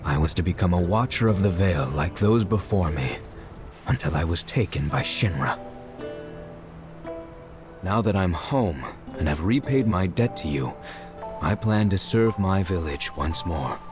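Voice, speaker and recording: Noble beast warrior from a game, low voice, formal n earnest, music behind. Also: male voice, animated, unhurried. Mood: solemn, grateful. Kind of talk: video game voice acting.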